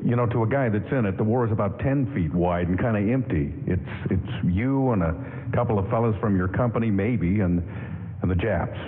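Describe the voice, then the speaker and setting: An actor playing a shy, jittery young soldier in a 1940s-style radio drama, hesitant and stammering. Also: male voice, fairly low pitch, a little fast, close to an everyday voice. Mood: hesitant, subdued. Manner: hesitant, stammering, repeating words. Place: stage of a large hall. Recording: muffled live radio broadcast in echoey hall.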